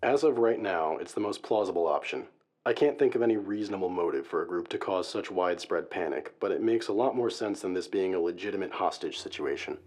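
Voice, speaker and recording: A man's voice: deep voice, brisk, darkish tone. A man playing a serious, guarded crisis negotiator in an audio-drama radio interview, speaking formally and analytically. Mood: measured, concerned. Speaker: guest expert, hostage negotiator.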